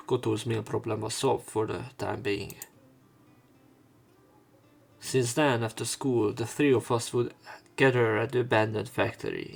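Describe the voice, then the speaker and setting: A man's voice: on the low side, creaky. A man narrating a visual novel as a let's play, reading the story text aloud.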